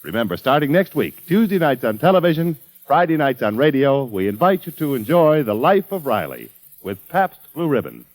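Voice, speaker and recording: A man's voice: mid-range voice, sing-song, normal speed, low-quality recording. A man working as the announcer of a 1940s radio broadcast, giving a formal, polished sponsor read. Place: radio studio.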